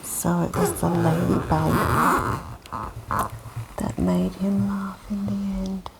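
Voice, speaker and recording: A woman whispering softly as she reads a children's picture book aloud for an ASMR session. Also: lowish voice, level tone, slow, gravelly, noisy background. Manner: soft gentle whisper. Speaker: host. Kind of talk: ASMR whispered storybook reading.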